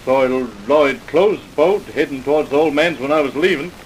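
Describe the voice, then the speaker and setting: A man's voice: a little high, normal speed, close to an everyday voice, rough recording. An actor playing a grudging, plain-spoken fisherman under questioning in an old-time radio crime drama, curt and colloquial. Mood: defensive, plain. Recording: hissy vintage radio recording.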